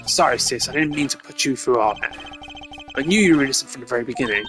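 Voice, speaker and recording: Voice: medium pitch, lively intonation, quick pace, fairly bright tone, faint music behind, lightly acted. A performer voicing a defiant, confident male investigator with a blunt, confrontational way of talking in a detective game. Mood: casual. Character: gruff, defiant male agent, taunting and confident.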